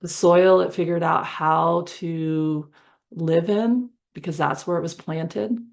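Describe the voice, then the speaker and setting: Female meditation guide: gravelly fry, very slow delivery, gentle and conversational, improvising a visualization in short phrases. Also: fairly low pitch, steady tone. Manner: soothing, conversational, pausing between short phrases.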